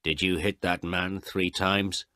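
A man's voice: deep voice, level tone, takes it slowly, sharp tone, no echo, clean studio sound, heavily acted. A man voicing a stern policeman who gives curt orders in a storybook reading. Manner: curt, commanding.

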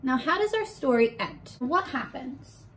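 A young woman teaching a read-aloud lesson to small children, asking questions in an animated way and pausing for answers. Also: sits high, a little hiss or hum. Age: young adult. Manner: animated, exaggerated, asks questions and pauses for answers. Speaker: elementary school teacher. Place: bedroom, indoors. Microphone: camera a short distance in front.

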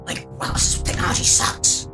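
A performer playing a power-hungry but slacker-like game villain with a breathy, croaky voice, mumbling offhandedly in a comedy dub. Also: moderate pace, natural voice. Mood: baffled. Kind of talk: improvised comedy fan dub of a video game. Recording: loud music behind the voice.

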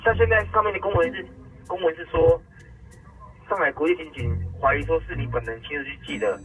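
A man on a phone call speaking as a call-centre agent in a smooth, businesslike way. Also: on the high side, quick pace, a bit bright, soft background music. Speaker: phone scam caller. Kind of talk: scripted call-centre talk.

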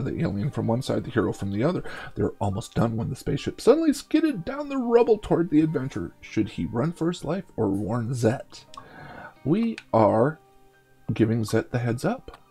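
A middle-aged man hosting a gaming show, reading a story aloud dramatically and dropping in wry, joking asides, with a gravelly, husky voice. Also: on the high side, warm tone.

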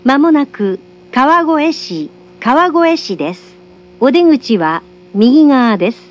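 High, slow woman train announcer. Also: very animated, faint music behind. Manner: formal, polite, carefully enunciated. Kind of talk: scripted recorded train announcement. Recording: humming train-carriage speaker recording.

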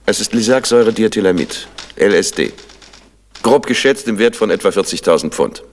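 A police inspector in an old radio crime drama, talks fast and low, all business. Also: male voice, animated. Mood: businesslike. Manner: matter-of-fact, authoritative. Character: brisk, businesslike middle-aged male police inspector. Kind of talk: 1970s German crime radio play acting.

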